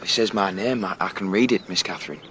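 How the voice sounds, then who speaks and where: An actor playing a rough, unlettered young farm lad in a period audio drama, shy, proud and easily hurt, speaking awkwardly. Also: male voice, low voice, a bit bright, a little hiss or hum. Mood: shyly proud. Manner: halting, awkward.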